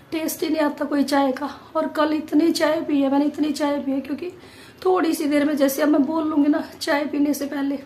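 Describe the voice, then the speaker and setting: A woman vlogger chatting casually to viewers, her voice hoarse and nearly gone, pushing hard to get the words out. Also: sits high, darkish tone, a little hiss or hum. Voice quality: strained, forced voice.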